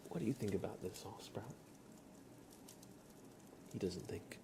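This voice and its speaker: A man, hosting an ASMR livestream in a gentle, unhurried way and talking directly to his chat. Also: on the low side, animated, gravelly, very noisy. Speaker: livestream host. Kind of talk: ASMR livestream, whispered and soft-spoken.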